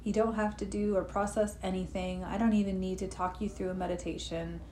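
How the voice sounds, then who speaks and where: A woman guiding a relaxed live meditation session, gently talking, with personal asides, sniffly from allergies. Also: medium pitch, fairly quick. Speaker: meditation guide. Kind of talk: guided meditation talk. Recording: echoey room with faint noise.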